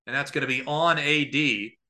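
A man calling live basketball play-by-play as a podcast host, somewhat halting between plays. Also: mid-range voice, bright, forceful tone.

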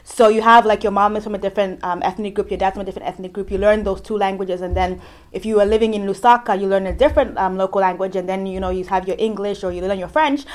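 Woman host from Zambia, mid pitch, chatty, rambling questions. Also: fairly even intonation, fast talker. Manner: chatty, loose questions with many likes and you knows. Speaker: podcast host. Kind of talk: casual interview.

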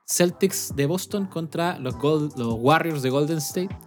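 A man's voice: fairly high pitch, measured pace, soft background music. A chatty Chilean man co-hosting a podcast, rambling and laughing as he talks. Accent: Chilean Spanish. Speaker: podcast co-host. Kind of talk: casual podcast banter.

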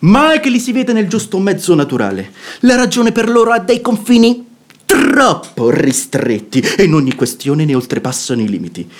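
Radio comedy: plain-speaking, reasonable brother-in-law, male, highish voice, very lively intonation, oratorical and emphatic. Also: moderate pace. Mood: impassioned reasoning. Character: sensible, outspoken brother-in-law scorning false piety. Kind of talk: classical stage comedy radio acting.

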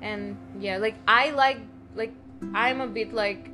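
A woman's voice: on the high side, takes it slowly, music in the background. A young woman chatting casually on a podcast with friends, full of fillers and curse words. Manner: swears freely, rambling with many fillers.

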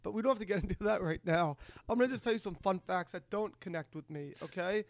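High-voiced excitable man, stammering. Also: quick pace, no room sound. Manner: excitable, stammering, often repeating words. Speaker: podcast co-host. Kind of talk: casual comedic podcast banter.

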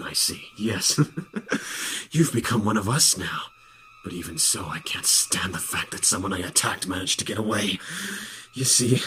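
Man playing a low, smug vampire villain. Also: a bit bright, a little hiss or hum, rough recording. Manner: mocking, theatrical. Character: smug, menacing male vampire rival, taunting killer. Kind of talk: ASMR audio roleplay.

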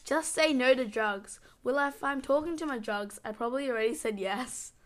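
A woman's voice: sits high, normal speed. A young woman co-hosting a casual podcast, reading out jokes and puns between giggles. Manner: giggly, reads puns aloud. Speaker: podcast co-host.

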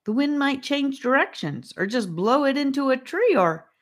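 Woman voicing a stubborn, impatient boy, pitched up. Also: lively intonation, normal speed. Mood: anxious.

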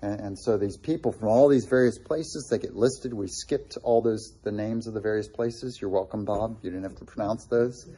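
A man's voice: lowish voice, moderate pace, hardly any pauses, dark tone, breathy edge. A middle-aged man preaching a sermon in a conversational way, with frequent restarts and asides to the congregation. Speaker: pastor.

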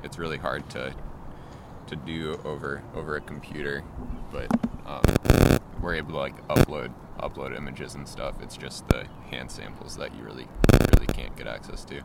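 A young man giving casual interview answers in a halting way, with lots of fillers. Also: extremely low pitch, fairly even intonation, creaky. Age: young adult. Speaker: interviewee, student. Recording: loud outdoor background noise.

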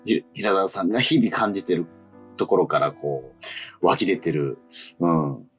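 Very deep-voiced man, husky and animated. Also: moderate pace, faint music behind. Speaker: radio show co-host. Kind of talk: casual after-show chat. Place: radio studio.

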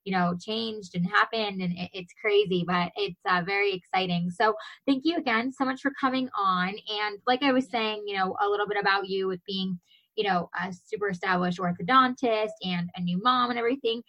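A woman's voice: mid-range voice, a little fast, a bit bright, dull audio. Gushing, chatty woman hosting a show. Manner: gushing, chatty, many fillers like "you know" and "like". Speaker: show host. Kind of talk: casual interview chat.

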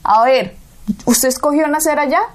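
A Colombian woman with a high, bright-pitched voice leads a Bible teaching conversation. She preaches emphatically, pressing her point with rhetorical questions aimed at the listener. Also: normal speed. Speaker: co-host. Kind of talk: Bible teaching talk show.

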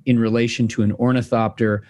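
A man's voice: mid-range voice, level tone, a little fast, darkish tone. A man hosting a podcast, chatting casually and rambling with fillers and restarts. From United States. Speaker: podcast host. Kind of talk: casual chat.

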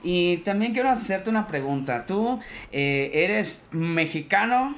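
A male radio host with a somewhat high voice asks his guest questions. He talks in a friendly, halting way, filling pauses often. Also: lively intonation, fairly bright tone. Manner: friendly, hesitant with fillers. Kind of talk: radio interview.

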